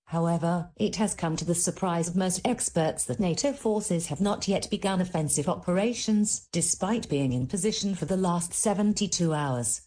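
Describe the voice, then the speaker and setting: A woman's voice: lowish voice, normal speed, few pauses, dark tone, lightly acted. A woman playing a composed radio news anchor presenting a world bulletin. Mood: composed, serious.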